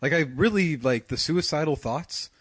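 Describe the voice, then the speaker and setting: A man's voice: on the high side, expressive, normal speed, breathy edge, dry recording. A man co-hosting a music podcast, teasing and sarcastic, laughing often. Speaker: podcast co-host. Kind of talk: casual podcast banter.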